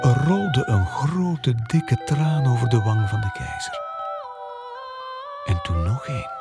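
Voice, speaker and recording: Highly animated storytelling narrator. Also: slow. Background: music.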